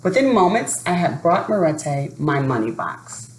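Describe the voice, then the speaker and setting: A low-voiced woman speaks slowly and deliberately, as if guiding young listeners through a storybook session. Her delivery is expressive, and the room around her sounds echoey. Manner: deliberate, expressive, addresses children with questions. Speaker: educator reading a children's book aloud. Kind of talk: read-aloud storytelling and talk to families. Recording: echoey room with faint background noise.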